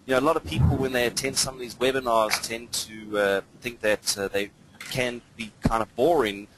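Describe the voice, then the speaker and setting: A man's voice: mid-range voice, fairly even intonation, moderate pace, a bit bright, slight background noise, rough recording. A man with a mixed international English accent, part British and part South African, presenting a live webinar as a trainer in an informal, enthusiastic, hesitant manner. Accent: mixed international English, hints of British and South African. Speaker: cybersecurity trainer.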